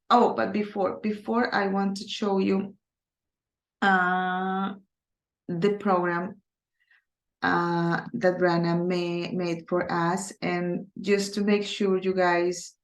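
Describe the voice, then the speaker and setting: A woman's voice: mid-range voice, vocal fry, roomy sound. A woman from Colombia, a native Spanish speaker with a Colombian accent, teaching a recorded Spanish class in English with many hesitations. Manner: hesitant, many fillers, speaks slowly on purpose. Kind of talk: recorded online class, casual.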